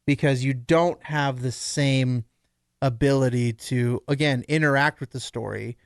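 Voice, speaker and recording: Male co-host, clean resonant mid-range voice, dry studio sound, measured explainer in relaxed conversation. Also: mid-range voice, no echo. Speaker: podcast co-host. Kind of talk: casual discussion.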